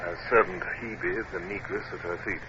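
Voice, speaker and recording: Male actor as English naval captain, deep-pitched, stiff, courteous, reserved, vintage radio play, noisy recording. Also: steady tone, normal speed, highs cut off, close to an everyday voice. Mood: reserved, narrating. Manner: stiff, formal, awkwardly courteous. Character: formal, reserved British naval captain at sea. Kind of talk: 1950s radio drama acting.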